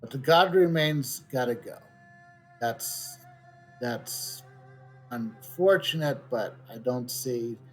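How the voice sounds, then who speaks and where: A man giving casual live commentary on a game, thinking aloud in a pensive, halting way. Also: mid-range voice. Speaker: game streamer. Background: game music.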